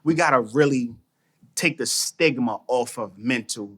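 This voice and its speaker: A man talking casually on a podcast, animated and slangy, often repeating phrases. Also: medium pitch, a little slow, husky. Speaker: podcast guest, mental toughness trainer.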